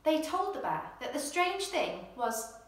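A young woman presenting and reading a children's storybook in an expressive, gentle bedtime style. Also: high-pitched, unhurried. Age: young adult. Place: indoor room at home, seated in armchair. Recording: very echoey room.

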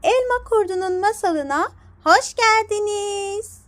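A woman storyteller with a strikingly high voice reads a children's bedtime tale. Her delivery is very slow and deliberate, addressed to young listeners. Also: a bit bright. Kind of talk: scripted bedtime story narration.

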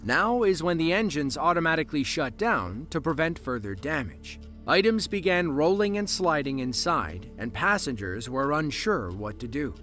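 A man narrating a scripted documentary in a lively storytelling way with dry asides. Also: fairly high pitch, moderate pace, hardly any pauses. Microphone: voice-over, studio mic. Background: soft background music.

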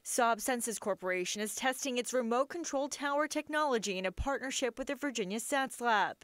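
A woman's voice: fairly high pitch, expressive, brisk, fairly bright tone, no echo, studio quality. A woman with an American accent reading scripted news narration as a reporter. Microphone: close studio voice-over mic.

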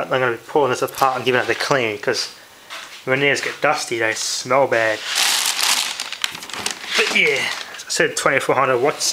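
An Australian man talking casually and offhand to viewers while he works with his hands, muttering a little to himself. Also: medium pitch. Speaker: hobbyist video maker. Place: indoors at home, working by hand. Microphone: handheld camera microphone. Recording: noisy handheld camera audio with clicks.

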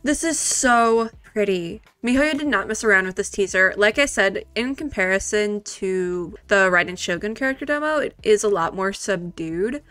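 A woman giving casual, gushing reaction commentary, rambling excitedly and peppering it with "like". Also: mid-range voice, normal speed, hardly any pauses, no room sound. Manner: excitable, rambling, heavy use of "like". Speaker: video creator reacting to a game trailer.

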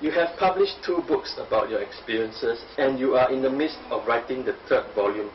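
Male interviewer, off-mic and muffled, calm formal questions. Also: medium pitch, normal speed. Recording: distant, dull, with faint hiss.

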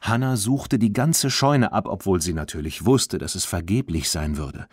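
Male audiobook narrator, low pitch, expressive children's storytelling, clear enunciation, dry recording. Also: moderate pace, no echo.

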